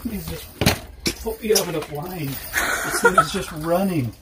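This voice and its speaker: A man's voice: fairly high pitch, a little slow. A middle-aged man in casual, joking chat with a companion, caught on a handheld camera inside an ice fishing tent. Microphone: picked up by companion's handheld camera. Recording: very noisy camera audio in tent.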